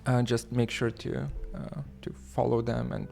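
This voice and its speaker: A man from Lithuania hosting a livestream, talking haltingly with many fillers and restarts as he reads the chat aloud. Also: mid-range voice, completely flat delivery, creaky, mellow, faint music behind. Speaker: livestream host.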